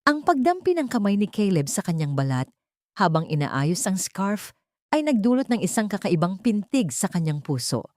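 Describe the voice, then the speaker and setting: A woman's voice: medium pitch, very animated, normal speed, a little mellow, no echo, studio quality. A woman with a Filipino accent reading a scripted dramatic audio story as its narrator.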